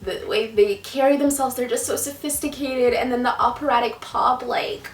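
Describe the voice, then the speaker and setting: A woman's voice: on the high side, normal speed. A twenty-year-old woman with an American accent, chatting casually to viewers as a vlogger in a question and answer style. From United States, partly Jamaica in early childhood. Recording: roomy, distant mic, faint music.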